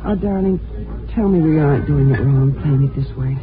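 A woman's voice: low voice, moderate pace, music in the background, close to an everyday voice. Woman acting an anxious radio-drama wife, muffled sound. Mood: anxious, devoted. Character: ambitious, anxious, devoted wife. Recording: muffled old radio recording.

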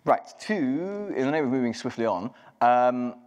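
A man's voice: medium pitch, expressive, moderate pace, a little mellow, clean tone, muffled recording. A man giving a live technical walkthrough at a conference, casual, with many ums and restarts. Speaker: conference speaker. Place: large conference hall. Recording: long hall reverb.

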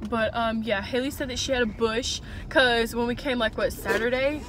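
A woman's voice: on the high side, normal speed, a bit bright, a little hiss or hum. Chatty young woman vlogging from her car. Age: young adult. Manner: chatty, rambling, many "um" and "like". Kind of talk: casual vlog chat. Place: inside a parked and moving car. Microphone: phone camera on the dashboard.

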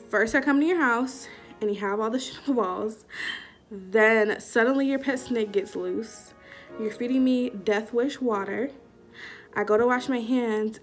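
A young woman telling a story to camera in a casual vlog, animated and slangy, acting out what people said, recorded in a small room on a camera at arm's length. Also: a little high. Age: young adult. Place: small indoor room before a curtain. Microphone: webcam or phone at arm's length.

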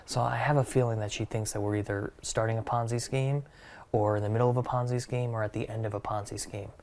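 A man in his forties speaking deadpan and dry, in comedic banter on a living-room couch. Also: on the low side, fast, slight background noise. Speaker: ad copywriter.

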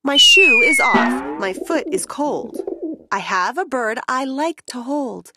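A woman narrating a rhyming children's picture book in an expressive, deliberate storytelling way. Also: fairly high pitch, very noisy.

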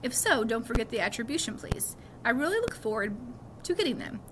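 A woman's voice: a little high, sing-song, fairly quick, noisy background. A middle-aged woman voicing an appreciative male English teacher's farewell note in a storybook, cordially read out. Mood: warm farewell.

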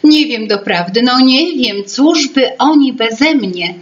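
A woman's voice: fairly high pitch, expressive, slow, lo-fi sound, pitched above the performer's own voice. A woman voicing a bossy, fussy female fairy-tale creature, speaking in a stern, emphatic, exasperated manner.

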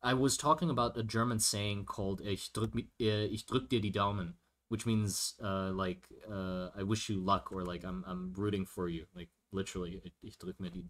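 A man's voice: lowish voice, fairly even intonation, moderate pace, a bit bright. A man chatting casually on a livestream while he draws, thinking aloud and answering viewer questions with many restarts. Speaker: streamer drawing a fantasy world.

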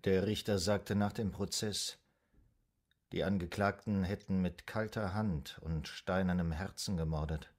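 Radio drama casting: deep-voiced, measured psychoanalyst, serious and troubled, period Vienna. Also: male voice, fairly even intonation. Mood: calm probing. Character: earnest, troubled male psychoanalyst in 1920s Vienna. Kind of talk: German radio drama acting.

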